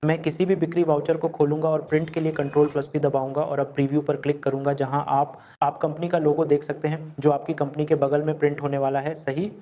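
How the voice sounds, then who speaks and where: Man, software tutor, step-by-step explaining, mellow voice, lo-fi recording. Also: a little high, flat delivery, fast, few pauses.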